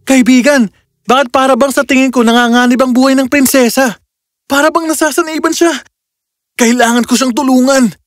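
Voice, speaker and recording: A man's voice: very high voice, steady tone, brisk, no echo, studio quality, pitched above the performer's own voice. A man voicing a kind, brave young prince in a children's fairy tale, earnest and courteous. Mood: concerned. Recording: music clearly behind the voice.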